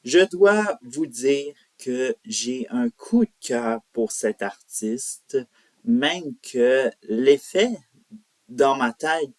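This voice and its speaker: A man presents a music profile at a very slow pace. His delivery is informal and halting, with self-corrections. His voice sits at a medium pitch, recorded dry with little room sound. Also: a little mellow, no echo. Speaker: host of a country music profile show. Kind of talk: semi-scripted biographical narration to viewers.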